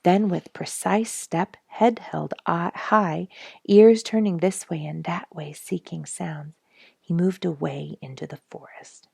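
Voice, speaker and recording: A woman narrating a children's story aloud in a measured, deliberate way with dramatic emphasis. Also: on the low side, vocal fry, a little mellow, slightly rough, no room sound, studio quality. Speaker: children's story reader, regular of the show.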